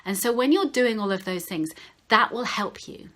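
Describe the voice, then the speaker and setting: A woman with a high-pitched voice giving advice straight to the camera as a speaking coach, talking slowly and stressing key words. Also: animated, a bit bright. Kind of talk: direct-to-camera advice talk.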